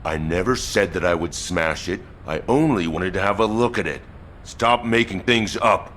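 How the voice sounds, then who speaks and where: With battle noise behind him, a very deep-voiced soldier in a video game. He is gruff, proud and vengeful, barking in a stern, impatient, commanding way. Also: normal speed, fairly bright tone. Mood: irritated. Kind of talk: video game character acting.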